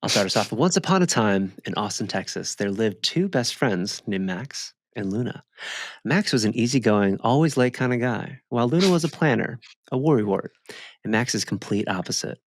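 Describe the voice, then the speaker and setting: A man's voice: fairly low pitch, animated, normal speed, no echo. A man co-hosting a podcast in casual comedic banter, with playful, mock-formal quips. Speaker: podcast co-host.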